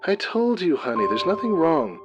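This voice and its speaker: A man's voice: fairly high pitch, sing-song, normal speed, pitched above the performer's own voice. Man voicing a sweet, evasive pony wife over music. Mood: breezy, reassuring. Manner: affectionate, reassuring, nervous.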